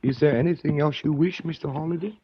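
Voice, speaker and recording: An actor playing a deferential Chinese shop assistant who speaks politely in formal broken English in a 1940s radio drama. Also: male voice, a little high, steady tone, fast talker, lightly acted. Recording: dull, noisy old radio recording.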